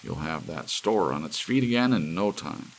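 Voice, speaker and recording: A man's voice: sits low, expressive, normal speed, slight background noise. A man voicing an intense, urgent scientist who gives advice and orders in an audiobook story. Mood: warm advice. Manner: urgent, advising. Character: John Erickson, intense male scientist with thinning hair.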